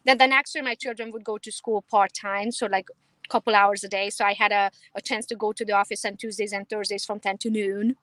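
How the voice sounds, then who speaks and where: A woman in her thirties from Romania with a Romanian accent, chatting confidently and quickly as a guest on an interview. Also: medium pitch, fairly even intonation, a bit bright, no room sound, rough recording. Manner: confident, fast, emphatic, laughs easily. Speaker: realtor guest. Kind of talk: casual interview chat.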